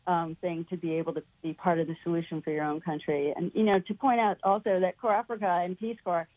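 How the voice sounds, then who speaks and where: A woman's voice: fairly low pitch, fairly even intonation, fast talker, no room sound. A woman giving earnest, emphatic answers as an interview guest over a band-limited phone line. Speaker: non-profit founder, interview guest. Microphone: telephone.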